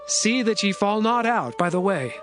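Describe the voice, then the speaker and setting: An earnest, powerful governor played by a man declaims in a fairly high voice. The speech is emphatic, part of a dramatized scripture recording with music underneath. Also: lively intonation, normal speed. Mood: earnest, urgent. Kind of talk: dramatized scripture audio acting.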